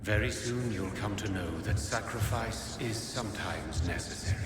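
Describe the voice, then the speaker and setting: An actor playing an ancient, solemn vampire progenitor in a video game, speaking gravely in cryptic, archaic phrases. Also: male voice, fairly low pitch, very noisy, natural voice. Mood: grave, fatherly. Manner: slow, grave, archaic formal speech. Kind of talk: dramatic video game acting. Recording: echoey reverberant hall, loud music behind.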